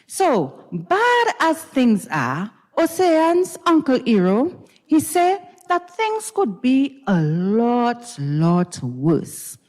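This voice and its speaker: A Grenadian-accented woman narrates a story aloud in a reverberant recital hall. Her fairly high voice is very expressive and conversational, moving at an unhurried storyteller's gait. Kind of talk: dramatized storytelling reading.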